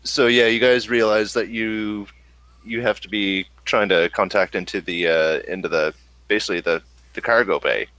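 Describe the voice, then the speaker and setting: A man running a tabletop game, narrating in a halting way as he thinks aloud. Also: lowish voice, steady tone, fairly bright tone, dry recording. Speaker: game master.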